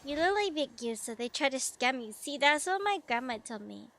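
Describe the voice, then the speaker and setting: A young woman with a Vietnamese American accent, chattering excitably with her chat while streaming a game, full of slang. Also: sits high, normal speed, noisy background. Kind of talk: casual gaming stream chatter. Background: game music.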